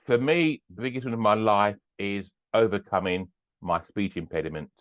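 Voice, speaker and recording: A man's voice: fairly low pitch, lively intonation, normal speed, smooth. An older man, a business owner and podcast guest, talking earnestly in a casual interview and repeating his phrases. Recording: tinny phone-like line.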